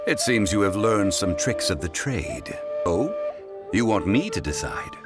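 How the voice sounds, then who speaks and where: A man playing a composed, scholarly funeral consultant in a video game, speaking formally and eloquently in measured phrases. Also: on the low side, sing-song, loud music behind the voice. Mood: unruffled. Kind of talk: scripted video game character dialogue.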